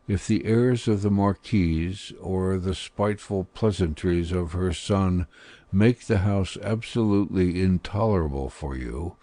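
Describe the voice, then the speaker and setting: A man voicing a stern, blunt older priest with hidden kindness, speaking gravely and deliberately in an audiobook. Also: low voice, warm tone, no room sound. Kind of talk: audiobook character dialogue.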